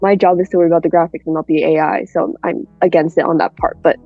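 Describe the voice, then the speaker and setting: A woman's voice: fairly low pitch, steady tone, fast talker, vocal fry, no echo, soft background music. A woman chatting casually as a panel guest, hesitant and leaning on filler words, over a lo-fi voice chat.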